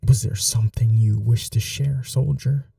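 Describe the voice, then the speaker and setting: A man playing a scheming young prince in an audio roleplay, speaking formally in a hushed, measured, imperious way. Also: mid-range voice, fairly even intonation, creaky, dry recording, distant mic. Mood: stern, menacing. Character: scheming young prince, commanding yet tender to his bride. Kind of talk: dramatic ASMR audio roleplay.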